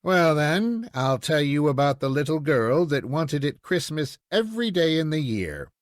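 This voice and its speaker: A man's voice: fairly high pitch, big pitch swings, unhurried, no room sound, studio quality. A man voicing a playful, mildly weary father in a storybook, wry and indulgent as he talks.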